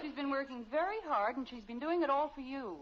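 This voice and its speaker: An actress playing a patient, gently firm American wife and mother in a 1950s radio sitcom, with a calm, reasoning manner. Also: high voice, fairly quick, a faint crowd, close to an everyday voice. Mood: gently reproachful. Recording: muffled old radio recording.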